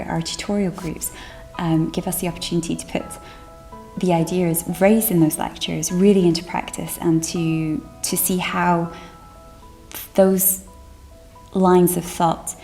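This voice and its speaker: A woman's voice: on the low side, level tone, measured pace, dark tone, faint music behind. A woman brought up in Scotland who works on civil liberties policy, speaking thoughtfully in a seated interview. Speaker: policy committee staffer. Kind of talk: interview testimony. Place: indoor room with curtain backdrop.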